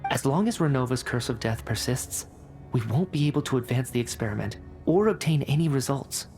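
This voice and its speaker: An actor playing a confident, determined researcher in a video game, articulate and deliberate in delivery. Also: mid-range voice, expressive, a little mellow, faint music behind, close to an everyday voice. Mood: frustrated, sober.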